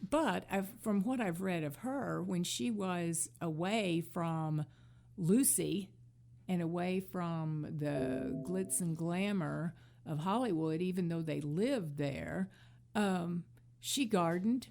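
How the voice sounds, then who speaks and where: A woman hosting a casual talk show, giving conversational commentary in measured, hesitant phrases. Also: on the low side, vocal fry, a little mellow.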